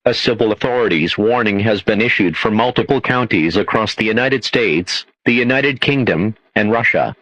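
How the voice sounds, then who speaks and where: Low-voiced man reading an emergency alert. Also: moderate pace, few pauses, a bit bright, no echo, poor audio. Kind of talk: formal scripted emergency broadcast announcement.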